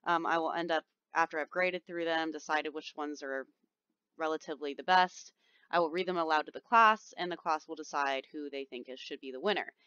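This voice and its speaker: A woman's voice: low voice, a little fast, sharp tone, smooth, dry recording, muffled recording. A woman teacher with a somewhat whiny voice, recording casual step-by-step instructions for her class on her computer microphone, with frequent ums. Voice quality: whiny, nasal-sounding voice. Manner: chatty, reassuring, explains step by step with frequent ums. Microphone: computer microphone, screen recording.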